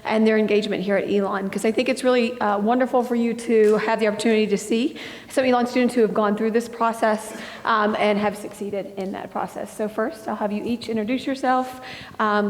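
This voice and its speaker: A woman's voice: medium pitch, fairly even intonation, brisk. A woman moderating a student panel, prompting each speaker with encouraging questions. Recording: long hall reverb.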